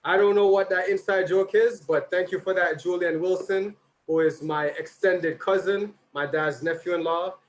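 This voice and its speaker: A man's voice: high-pitched, steady tone, normal speed, a little hiss or hum, rough recording. A man hosting a birthday tribute for his father, reading out messages with chatty, informal asides as his voice grows tired. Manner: informal, chatty, slight stumbles. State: tiring, slightly hoarse voice.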